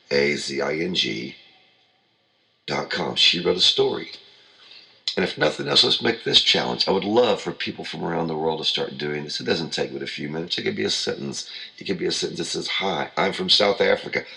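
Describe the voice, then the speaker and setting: Very deep gravelly man giving a pep talk. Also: sharp tone. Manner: earnest, repetitive, heartfelt. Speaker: motivational show host. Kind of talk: motivational talk.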